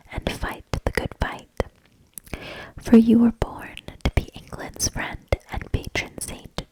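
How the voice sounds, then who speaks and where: A woman voicing a wise, good old male hermit in a storybook, in a breathy, solemn, measured whisper. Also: fairly high pitch, darkish tone, rough recording.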